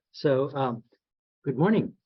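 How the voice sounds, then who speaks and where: A soft-spoken man from San Antonio, a federal agency director, giving a keynote full of personal stories in a folksy, halting way. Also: mid-range voice, animated, breathy edge, no room sound. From San Antonio, Texas. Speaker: guest speaker, federal statistics agency director. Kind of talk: storytelling keynote. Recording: dull lo-fi sound.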